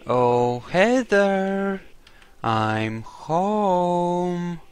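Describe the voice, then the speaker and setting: A man's voice: high-pitched, very animated, extremely slow, a little hiss or hum. A man giving casual, wry commentary on a game playthrough, musing aloud on a close mic. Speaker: gamer playing in character.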